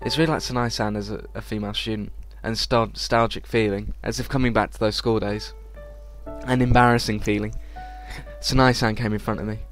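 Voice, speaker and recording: Male let's play host: low voice, halting script reading, game music underneath. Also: steady tone, fairly bright tone.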